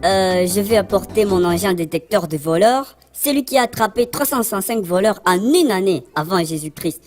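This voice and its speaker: A woman's voice: medium pitch, lively intonation, normal speed, a little mellow, soft background music, lightly acted. A woman playing a goofy, boastful boy inventor in a cartoon. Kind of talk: animated cartoon dialogue.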